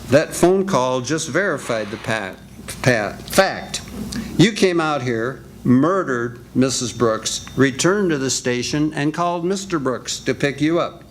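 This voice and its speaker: A man playing a stern male detective in a live radio drama, delivering a slow, measured accusation. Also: medium pitch, lively intonation, slight background noise, natural voice. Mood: accusing. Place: senior center stage.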